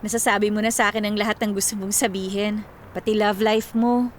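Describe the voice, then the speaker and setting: A woman's voice: mid-range voice, little pitch movement, a little fast, a little hiss or hum, lightly acted. A Filipino actress in a radio drama playing a teasing, secretly lovelorn young woman in her twenties. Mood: casual, friendly. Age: 20s. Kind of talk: Tagalog radio drama acting. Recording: music behind the dialogue.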